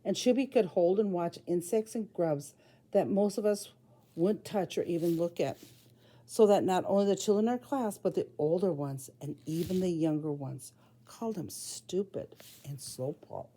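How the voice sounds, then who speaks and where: A woman preschool teacher reading aloud at storytime for young children, speaking simply and deliberately in short phrases. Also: lowish voice, dark tone.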